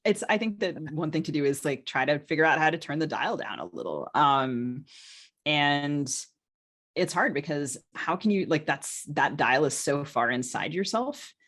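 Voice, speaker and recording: A woman's voice: deep voice, lively intonation, normal speed, a bit bright. A woman talking casually as a podcast co-host and novelist, informal and self-deprecating, often feeling her way toward a phrase. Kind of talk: casual podcast conversation about writing.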